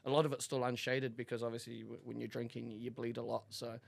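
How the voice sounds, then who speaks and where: A man's voice: mid-range voice, flat delivery, quick pace, vocal fry. A man from Auckland with a New Zealand accent, telling candid personal stories as a podcast guest in a giggly, rambling, slangy way. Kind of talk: casual, candid personal storytelling.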